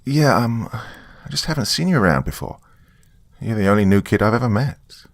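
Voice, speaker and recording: A man's voice: lowish voice, lively intonation, moderate pace, creaky, no room sound, studio quality, pitched above the performer's own voice. A man voicing a nervous, friendly child, hesitant yet eager, in a narrated story. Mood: flustered. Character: nervous, friendly child meeting someone new.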